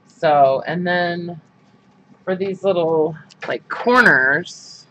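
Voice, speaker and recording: A man hosting a crafting livestream talks in an unhurried, rambling way, full of ums and restarts. His voice sits a little high and sounds bright and forceful, with a stuffed-up nose. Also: a little hiss or hum. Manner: rambling, many fillers and restarts. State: stuffed-up sinuses. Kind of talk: casual livestream chat while crafting.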